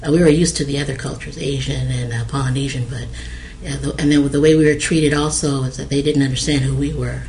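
A middle-aged woman from American Samoa speaks in a flat, level tone, pausing often with "uh" as she recalls things. The recording carries a faint hiss underneath. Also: very deep voice, poor audio. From American Samoa, raised in Hawaii. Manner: hesitant, many fillers. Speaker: interviewee. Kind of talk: oral history interview.

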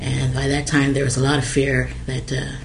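A middle-aged woman from American Samoa speaks in a flat, level tone, pausing often with "uh" as she recalls things. The recording carries a faint hiss underneath. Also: a bass voice, gravelly. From American Samoa, raised in Hawaii. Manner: hesitant, many fillers. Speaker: interviewee. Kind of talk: oral history interview.

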